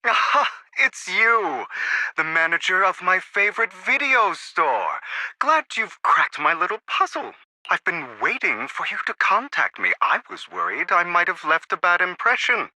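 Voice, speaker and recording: A smug young gentleman collector for a video game. His high, very bright voice swings with theatrical flourish and playful charm, recorded close and dry. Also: sing-song, unhurried, no echo, full character voice. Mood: playful, pleased.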